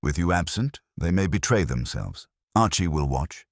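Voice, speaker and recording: Man playing a very deep, imperious detective. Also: sing-song, dry recording, clean studio sound. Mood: firm, final. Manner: formal, imperious, measured orders. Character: commanding, unhurried male genius detective, stern and decisive.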